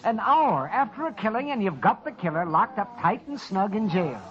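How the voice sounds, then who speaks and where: A high, swooping old country doctor, chipper and folksy. It is a muffled vintage radio recording. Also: male voice, very animated, normal speed, fairly bright tone. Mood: breezy. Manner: folksy, chatty. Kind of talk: old-time radio western acting. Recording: dull old radio recording, busy background.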